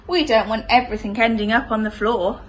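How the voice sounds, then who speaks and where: A woman voicing a busy mother elephant in a children's storybook, brisk, firm and fond. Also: a little high, steady tone, a little hiss or hum, close to an everyday voice, poor audio. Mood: fond, bustling.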